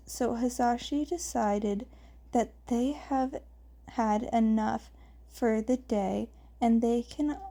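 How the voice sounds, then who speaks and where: A woman reading fan fiction aloud as story narration, sometimes halting over her words. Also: on the high side, mellow. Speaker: fan fiction podfic reader.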